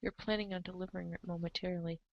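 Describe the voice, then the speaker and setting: A woman's voice: lowish voice, steady tone, racing, vocal fry, no echo, lo-fi sound. A young adult woman reading second-person story narration as the narrator of an amateur reader's theater.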